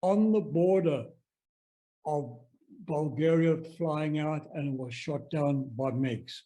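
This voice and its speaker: A man's voice: on the high side, slow pace, warm tone, low-quality recording. An older man with a South African accent, giving a chatty, anecdotal talk on a video call. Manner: chatty, anecdotal, self-deprecating. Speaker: presenter.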